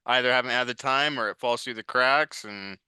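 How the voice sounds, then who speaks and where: A man's voice: mid-range voice, a little fast, pushed and bright, clean tone, no echo. A man in his twenties giving casual, informal, rambling answers as an interview guest. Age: 20s. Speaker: interview guest, writer and director.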